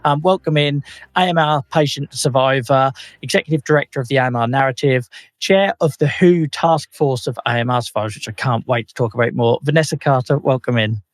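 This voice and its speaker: A man's voice: medium pitch, brisk, no echo. A male podcast host introduces and questions his guest in a friendly, slightly hesitant way, with plenty of ums. His voice sits in a middle range and sounds dry and close. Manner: friendly, hesitant, many fillers. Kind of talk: casual interview hosting.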